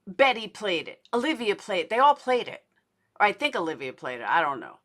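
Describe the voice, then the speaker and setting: Woman, bright forceful voice, mid pitch, indignant and blunt, casual true crime livestream host. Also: sing-song, brisk.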